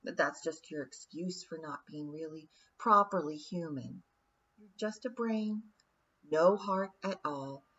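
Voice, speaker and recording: A woman's voice: low-pitched, unhurried, a bit bright, husky, dull audio, heavily acted. A woman voicing a cold, cruel fairy queen who speaks in a silky, condescending, taunting way in an audiobook. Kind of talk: audiobook character voice.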